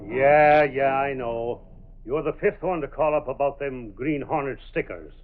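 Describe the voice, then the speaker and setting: An actor playing an impatient, bossy American police sergeant, gruff, slangy and brusque, in old radio drama. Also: male voice, mid-range voice, a little slow, narrow, tinny audio, soft background music, lightly acted. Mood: irritated. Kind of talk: 1930s-40s radio drama acting. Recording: thin band-limited vintage radio recording.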